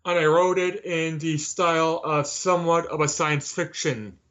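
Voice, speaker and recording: A man answering as an interview guest, measured and wry in his manner. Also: on the high side, steady tone, fairly bright tone, lo-fi sound. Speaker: author, podcast guest.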